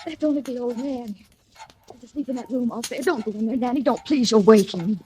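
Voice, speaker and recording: A woman's voice: on the high side, brisk, dark tone, lightly acted. An actress playing a frightened woman in love with a fugitive, pleading anxiously in 1940s radio thriller style on a noisy old recording.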